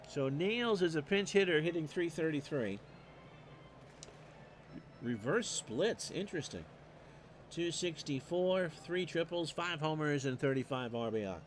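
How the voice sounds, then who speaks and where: A man doing solo play-by-play commentary on a replayed baseball game. He reads off stats with dry asides and gets louder on the big plays. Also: fairly high pitch, measured pace, pauses often, slight background noise. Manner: stat-heavy, dry asides, rising excitement on big plays. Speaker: play-by-play announcer.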